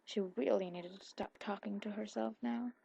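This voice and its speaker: A woman with a husky voice reading a scripted story aloud in an ASMR style. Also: medium pitch, fairly even intonation, normal speed, warm tone. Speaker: fan fiction audiobook narrator.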